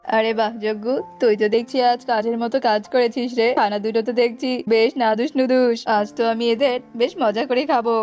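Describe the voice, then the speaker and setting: A woman's voice: on the high side, level tone, fairly quick, a little mellow, pitched below the performer's own voice, natural voice. Casting: woman to play a hungry, bullying male cartoon fox, commanding and taunting; muffled lo-fi track with music underneath. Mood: gloating. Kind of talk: children's animated folk-tale acting. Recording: dull lo-fi audio with music behind.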